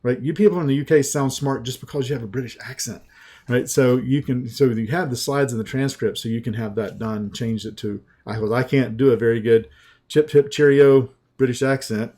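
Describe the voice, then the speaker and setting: Southern US man, sales coach type, mellow unhurried webinar pitch with a folksy feel. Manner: slow, folksy, conversational with restarts.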